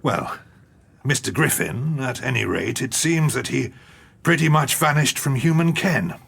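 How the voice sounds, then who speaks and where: A man playing a middle-aged police colonel in an audio drama, gruff, dutiful and deferential, with a raspy, gravelly voice. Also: medium pitch, lively intonation, a little slow, a bit bright, full character voice. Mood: weary.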